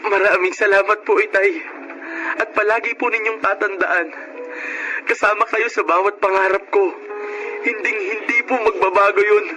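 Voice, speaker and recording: An actor with a Filipino accent playing a devoted young son and new police officer in a radio drama. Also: male voice, high voice, fairly even intonation, moderate pace, close to an everyday voice, poor audio. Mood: tender, pleading. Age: young adult. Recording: radio drama with music bed.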